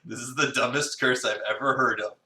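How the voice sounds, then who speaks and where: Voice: medium pitch, steady tone, fairly quick, sharp tone. A streamer chatting casually and jokily while co-reading with a partner. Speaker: streamer, co-reader. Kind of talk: casual joking chat. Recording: roomy, distant mic with noise.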